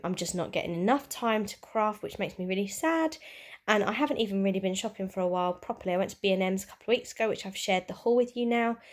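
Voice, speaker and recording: A young woman with a stuffy, bunged-up voice, chatting casually and rambling through a shopping haul. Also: medium pitch. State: bunged up with a cold. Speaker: craft vlogger and teacher. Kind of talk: casual haul vlog chat.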